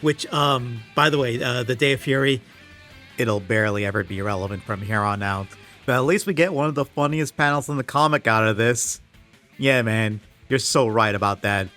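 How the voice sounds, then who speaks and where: A man's voice: medium pitch, moderate pace, bright, forceful tone. A man delivering scripted comedic commentary as a host, in a sarcastic, exasperated, casual manner with some swearing. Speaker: video essayist and host. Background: background music.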